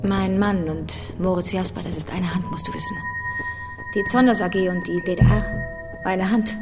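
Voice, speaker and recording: An actress playing a seductive, secretive informant in a radio drama, speaking in an intimate, coaxing and urgent manner. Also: female voice, on the low side, fairly even intonation, moderate pace, close to an everyday voice. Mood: conspiratorial, insistent. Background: prominent music.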